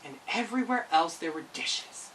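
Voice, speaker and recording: Animated woman reading a children's story. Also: fairly low pitch, moderate pace, recorded from a distance, slight background noise, poor audio. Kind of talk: expressive children's storybook narration.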